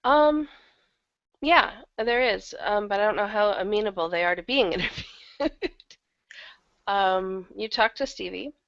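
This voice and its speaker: A woman chatting casually as an interview guest, with a gravelly creak in her voice, over a lo-fi online call. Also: medium pitch, animated, a little slow, fairly bright tone. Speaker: interview guest, audio drama producer. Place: online video hangout.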